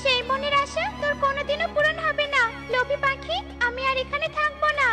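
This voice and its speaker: Earnest, very high-pitched cartoon goose. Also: female voice, fairly even intonation, a little fast. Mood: defiant. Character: magical goose seeking shelter, wronged and wary of greed. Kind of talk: children's cartoon voice acting. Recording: music behind the voice.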